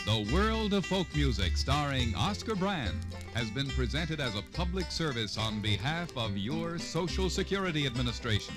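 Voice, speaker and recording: A man's voice: medium pitch, very animated, moderate pace, few pauses. A man reading a formal scripted announcement as a radio announcer. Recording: old radio broadcast over music.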